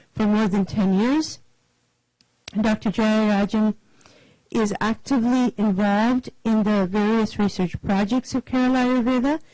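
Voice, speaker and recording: Woman, conference moderator, introduces a speaker, hesitant, many pauses, mid pitch. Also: a little mellow, dry recording, poor audio. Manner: hesitant, many fillers and restarts.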